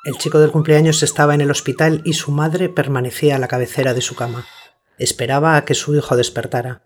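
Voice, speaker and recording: A man narrating a scripted radio story in a measured, sober manner. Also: on the high side, level tone, slight background noise.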